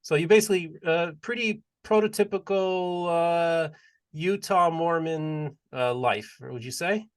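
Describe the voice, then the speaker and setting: A man's voice: on the high side, measured pace, clean tone. A man hosting a podcast interview, chatty and leading the talk with long, rambling questions. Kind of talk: casual interview chat.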